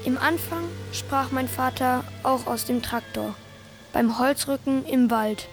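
An actress playing a wondering narrator of a rural childhood, speaking poetic radio-play lines in a measured, lyrical way. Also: female voice, a little high.

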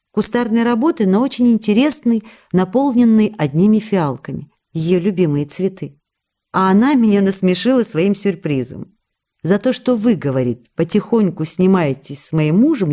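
A woman playing a loving, sociable actress writing to her husband, reading the letters for radio in an intimate, expressive, chatty way. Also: medium pitch, close to an everyday voice.

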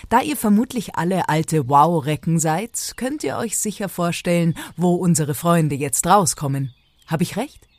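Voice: medium pitch, lively intonation, measured pace. A narrator telling an audio drama tale in a playful tone, speaking directly to the listeners.

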